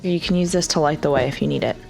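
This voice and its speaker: A woman speaks casually as a player in a tabletop roleplaying game. Her voice is very low for a woman, with heavy fry, and music runs underneath. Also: very fast, darkish tone. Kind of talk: casual in-character play and describing actions.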